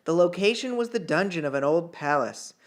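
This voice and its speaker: A man's voice: a little high, lively intonation, brisk. Man reading a novel aloud. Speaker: author reading own novel chapter. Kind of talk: audiobook-style fiction narration.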